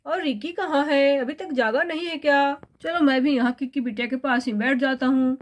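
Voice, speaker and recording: A woman voicing a kindly, gently chiding father in a children's cartoon story. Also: sits high, moderate pace, natural voice.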